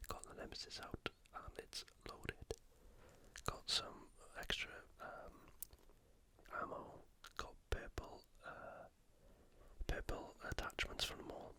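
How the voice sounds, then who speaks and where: An ASMR host speaking casually in a breathy, unvoiced whisper, giving commentary on sport and gameplay. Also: slow, pushed and bright. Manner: casual, conversational.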